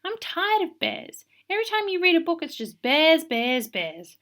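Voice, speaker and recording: A woman voicing a bossy, excitable little girl who tells her own picture book in an emphatic, theatrical way. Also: high voice, heavily acted. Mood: fed up, insistent. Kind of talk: expressive children's picture book read-aloud.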